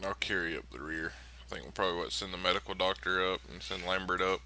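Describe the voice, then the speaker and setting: A man's voice: sits low, steady tone, fast, bright, forceful tone, slight background noise, poor audio. A man talking casually as a player in a tabletop role-playing game.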